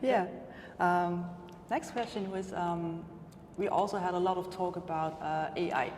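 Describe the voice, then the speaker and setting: A woman's voice: lowish voice, measured pace, darkish tone. A woman from Austria interviewing a guest, hesitant, with many fillers. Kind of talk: conference interview. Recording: roomy hall with ambient noise.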